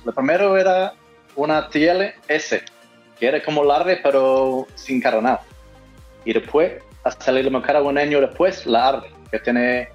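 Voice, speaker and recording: An Australian man whose accent has picked up an Andalusian lilt, sits as a guest and answers at a medium pitch. He often trips and restarts his phrases while he searches for the words. Faint music plays underneath him. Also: highs cut off. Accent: Australian mixed with Andalusian. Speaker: interview guest, motorcyclist. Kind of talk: casual interview answers.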